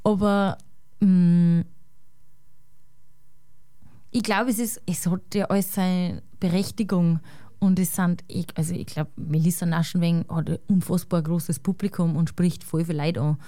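An Austrian woman with a Salzburg accent, a guest chatting casually and thinking aloud, often ending with a quick tag question. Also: lowish voice, steady tone, dark tone, no room sound, clean studio sound. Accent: Salzburg Austrian German. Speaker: guest musician. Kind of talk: casual conversation.

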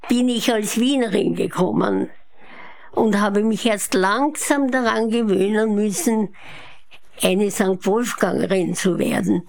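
A woman's voice: medium pitch, unhurried, gravelly, a little mellow. A woman in her eighties with a Viennese Austrian accent, telling her life story as an interview guest. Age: 80s. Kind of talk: unhurried autobiographical storytelling. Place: her apartment living room.

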